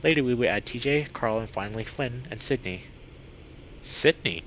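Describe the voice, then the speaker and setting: Male game streamer, mid-pitched, narrating story text and reacting expressively; dull audio with noticeable noise. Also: expressive, moderate pace, a bit bright. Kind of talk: reading visual novel aloud with excited reactions. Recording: dull lo-fi mic with clear background noise.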